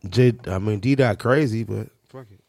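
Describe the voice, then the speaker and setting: A man's voice: lowish voice, normal speed, vocal fry, darkish tone, no room sound, clean studio sound. A man in his fifties from Chicago's South Side, co-hosting a podcast in casual chat and repeating his points emphatically. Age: 50s. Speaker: podcast co-host.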